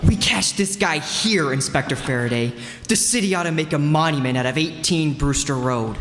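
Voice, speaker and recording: An eager junior policeman in a radio crime play, acted by a man, obedient to his boss. The slightly high voice carries the echo of a hall. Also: moderate pace, fairly bright tone, close to an everyday voice. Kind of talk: old-time radio crime drama acting.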